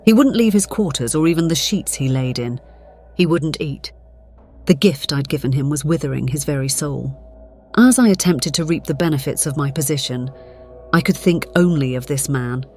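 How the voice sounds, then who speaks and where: A woman playing a centuries-old, conflicted vampire, narrating gravely in a measured, theatrical way. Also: deep voice, lively intonation, mellow. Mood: brooding. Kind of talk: dramatic scripted narration. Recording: dramatic music behind the voice.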